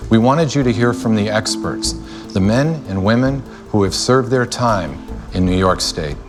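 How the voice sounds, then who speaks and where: A man narrating a documentary in a calm, direct scripted read. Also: lowish voice, moderate pace, over music.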